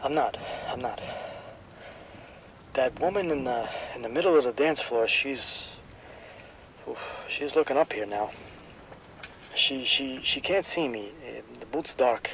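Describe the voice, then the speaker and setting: A man's voice: mid-range voice, unhurried, pauses often, fairly bright tone, slight background noise, poor audio. An actor playing a terrified nightclub DJ trapped in his booth, stammering and panicked, in a radio drama. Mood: terrified whisper.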